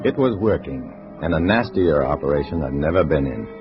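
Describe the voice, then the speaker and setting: A guarded, hard-boiled undercover man in an old radio thriller. His voice sits low but swings with lively inflection, and his delivery is terse. The vintage recording sounds dull. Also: brisk, over music. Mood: grim. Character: guarded male undercover agent posing as a communist. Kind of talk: 1950s radio drama acting and narration.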